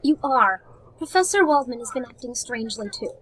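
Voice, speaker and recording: A woman's voice: on the high side, expressive, brisk, fairly bright tone. A woman playing an affectionate, worried young bride in a radio play, tender and theatrical. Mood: tender concern. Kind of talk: amateur radio-play style acting.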